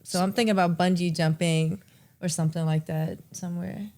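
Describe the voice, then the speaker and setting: A woman's voice: lowish voice, fairly even intonation, a little fast, dark tone. Laid-back teasing woman in her thirties. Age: 30s. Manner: easygoing, teasing, laughs often. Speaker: podcast co-host. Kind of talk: casual chat with her husband.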